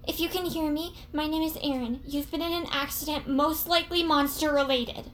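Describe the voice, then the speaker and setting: A woman's voice: high-pitched, steady tone, a little fast, close to an everyday voice. A woman playing an anxious, naive and well-meaning young woman in an audio drama, girlish and earnest. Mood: earnest, careful. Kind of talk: audio drama comedy-horror acting.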